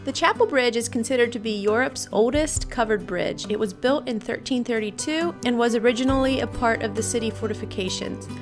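A woman's voice: a little high, fairly quick, few pauses. A woman in her thirties with a Pennsylvania Dutch accent, chatting casually into a handheld camera for her travel vlog. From Pennsylvania, USA. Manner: chatty, laughs often. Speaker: vlogger. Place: car and outdoors in a lakeside town. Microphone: handheld camera at arm's length. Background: background music.

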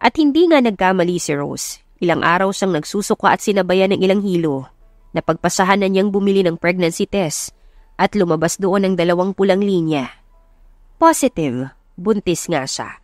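A woman's voice: lowish voice, animated, normal speed, dry recording, studio quality. A woman narrating a scripted romance story in a dramatic audiobook style. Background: music.

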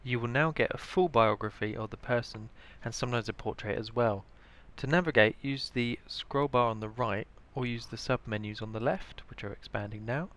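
A man's voice: mid-range voice, normal speed, few pauses, fairly bright tone. A British man giving scripted instructional narration as the presenter of a training tutorial. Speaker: information librarian, tutorial presenter.

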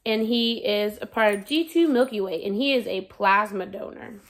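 A woman's voice: mid-range voice, normal speed, rough recording. A young American woman, a schoolteacher, reading aloud and explaining things simply to young children at home. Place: living room at home, indoors.

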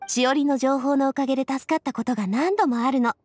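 Woman as an elegant, high-voiced young lady boss. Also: quick pace, darkish tone, no room sound, lightly acted. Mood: friendly, sincere. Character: elegant, warm-hearted young lady boss, supportive and self-assured. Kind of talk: Japanese video game voice acting.

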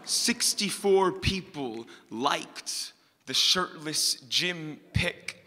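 An American man in his twenties performing spoken-word poetry, with deliberate, dramatic phrasing and pauses. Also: fairly high pitch, expressive, bright, forceful tone, big-room sound. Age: 20s. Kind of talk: performed slam poetry recital.

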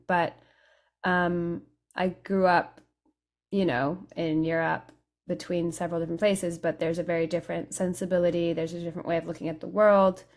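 A young woman musician from Italy chats on a video call from her home room. Her voice sits on the low side, and she talks in a relaxed, thoughtful way. She pauses and uses fillers as she thinks. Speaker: guest musician. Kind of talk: casual interview over video call. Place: home room with studio mic and headphones. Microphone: studio condenser mic on video call.

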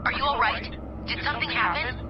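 A woman's voice: on the high side, a little fast, muffled recording, very noisy, close to an everyday voice. An actress playing a concerned, insistent female mission ally in a video game. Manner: urgent, insistent.

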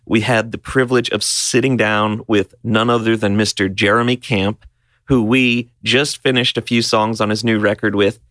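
A man's voice: fairly low pitch, a bit bright, no room sound, studio quality. A man hosting a music podcast backstage, upbeat and chatty, laughing often and asking long rambling questions. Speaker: podcast host. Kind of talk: casual backstage interview and recap. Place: backstage interview booth.

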